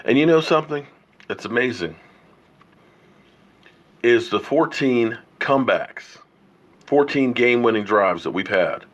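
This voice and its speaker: A man's voice: lowish voice, fairly bright tone, muffled recording. Emphatic man with a cold on a livestream. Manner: emphatic, argumentative, rhetorical questions. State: has a cold. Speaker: sports show host. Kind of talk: livestream sports opinion talk.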